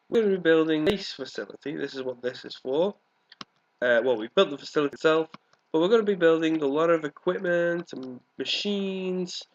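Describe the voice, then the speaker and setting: A man with a congested, cold-affected voice giving casual, rambling let's play commentary as the host of a gaming video. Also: fairly high pitch. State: congested with a cold, coughing now and then. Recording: dull, lo-fi microphone sound.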